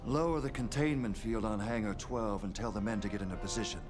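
A battle-hardened older general in a video game, played by a man. His voice is fairly low, stern and commanding, with clear music underneath. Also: fast talker, close to an everyday voice. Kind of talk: scripted game cutscene dialogue.

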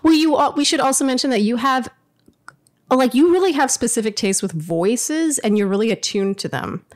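A woman in her thirties with a low voice, co-hosting a podcast from her living room, telling a story in a lively way. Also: moderate pace. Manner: animated storytelling with laughs and restarts. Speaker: podcast co-host. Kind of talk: casual chat. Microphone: studio mic on boom arm.

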